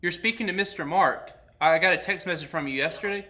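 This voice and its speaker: A man with a fairly high, bright voice hosts a casual comedy bit. He talks in an easy conversational way, keeping up a mock-earnest front suited to a prank call. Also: fast. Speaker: comedy show host making a prank call.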